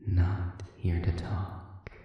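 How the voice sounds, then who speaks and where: A performer voicing a sadistic male demon prisoner in an audio roleplay, speaking formally and sardonically with a taunting, manipulative silkiness that often sinks toward a breathy whisper. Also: low-pitched, flat delivery, extremely slow, full character voice. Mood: ominous, taunting. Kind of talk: slow audio roleplay monologue addressed to listener. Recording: cavernous echoing reverb, distant and muffled.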